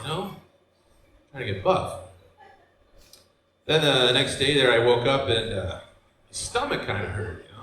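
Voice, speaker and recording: A man in his forties from Michigan, with a Michigan accent, doing a deadpan stand-up set in a brewery with loose asides to the crowd. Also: fairly high pitch, slight background noise. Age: 40s. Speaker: stand-up comedian. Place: brewery stage before a live audience. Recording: roomy live venue sound.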